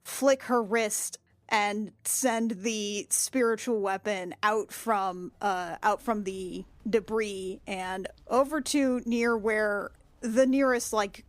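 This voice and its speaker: Woman, medium pitch, dry close recording, tabletop player, casual, thinking out loud. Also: fairly even intonation, takes it slowly, hardly any pauses, no echo. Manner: loose, thinking aloud. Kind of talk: casual improvised description of character actions.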